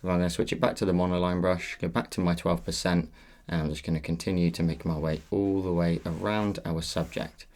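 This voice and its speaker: Man, deep voice, slightly croaky; patient tutorial host guiding beginners. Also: flat delivery, fairly quick, darkish tone. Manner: patient, instructive walkthrough. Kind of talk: step-by-step drawing tutorial.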